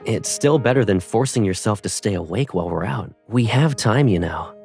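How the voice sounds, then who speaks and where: A devoted young boyfriend on a phone call in a romance game. His voice sits a bit low and moves expressively as he gently reassures his partner. Soft music plays behind him. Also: animated, moderate pace, gravelly. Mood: gentle, reassuring.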